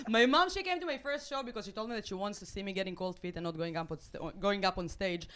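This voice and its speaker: A woman in her late twenties from Israel, with an Israeli accent and Hebrew as her first language, performing stand-up with deadpan, self-mocking delivery and halting restarts. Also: mid-range voice, slight background noise. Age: late 20s. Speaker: stand-up comedian. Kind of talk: stand-up routine. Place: comedy club stage with a live audience.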